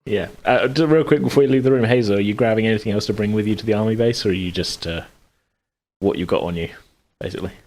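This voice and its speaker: A man's voice: lowish voice, fast talker, a little mellow. A man running a tabletop game as game master, narrating casually in hesitant, conversational phrasing.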